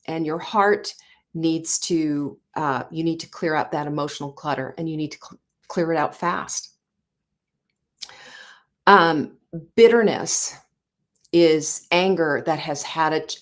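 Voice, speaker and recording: A woman's voice: low-pitched, unhurried. A woman in her sixties hosting a talk show, speaking earnestly and conversationally with frequent fillers and restarts. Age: 60s. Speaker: show host. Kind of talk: motivational talk.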